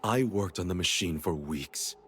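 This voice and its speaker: A low-voiced captive engineer in a fantasy game. He is haunted and guilt-ridden, halting and anguished, over faint background noise. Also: lively intonation, fairly bright tone. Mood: guilty, anguished. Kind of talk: dramatic video game dialogue acting.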